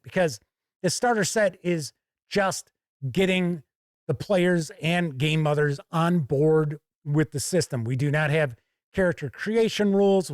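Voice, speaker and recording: Male reviewer, slightly high voice, unhurried explanatory walkthrough, chatty asides, very dry close sound. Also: no echo. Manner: deliberate, explanatory, conversational asides. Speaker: reviewer and show host. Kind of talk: casual product review walkthrough.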